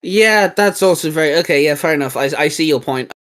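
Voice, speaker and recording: Rambling, slangy man, slightly high-pitched. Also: a little fast, a bit bright. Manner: hesitant, rambling, informal with slangy exclamations. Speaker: co-host of a geek culture show. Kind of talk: casual chat, reviewing video game designs.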